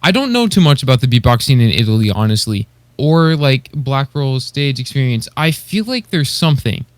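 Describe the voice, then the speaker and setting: A man's voice: medium pitch, very animated, moderate pace, no room sound. A man co-hosting a podcast, chatting casually and giving informal, appreciative opinions. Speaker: podcast co-host.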